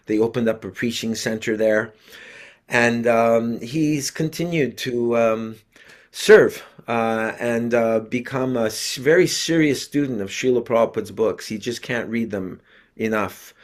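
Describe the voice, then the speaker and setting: An older man, a devotee preacher and host, addressing a religious gathering in a deliberate, earnest speaking style. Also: mid-range voice. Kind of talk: read-out sermon, then informal introduction.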